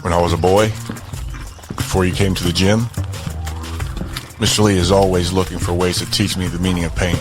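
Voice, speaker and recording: A man playing a cool, calculating fighter in an audio drama, talking with deliberate, philosophical calm. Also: sits low, over music, lightly acted. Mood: cool, controlled. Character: TJ, cool calculating male fighter who prizes pain.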